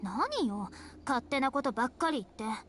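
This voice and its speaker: A woman's voice: sits high, very animated, fast, a bit bright, slightly rough, heavily acted. A woman playing a pouty, carefree young female vampire in a Japanese visual novel.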